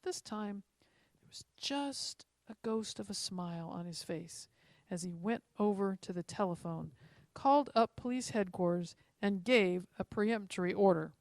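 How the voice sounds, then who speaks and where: A woman reads a story aloud as a narrator, in an expressive storytelling style. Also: medium pitch, normal speed, no echo. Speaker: story reader.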